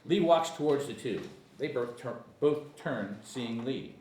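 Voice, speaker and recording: A man's voice: mid-range voice, lively intonation, a little slow, a little mellow. A man reading scripted stage directions as narrator at a screenplay table read. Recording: echoey room, distant mic, faint noise.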